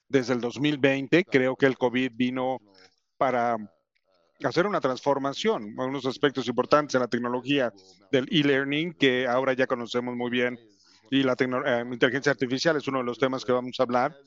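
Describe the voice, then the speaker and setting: English-accented guy giving a talk to educators, lots of ums and restarts, kinda muffled recording. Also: medium pitch. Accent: English speaker's Spanish. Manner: halting, many fillers and restarts. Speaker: company representative presenting to educators. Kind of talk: conference presentation.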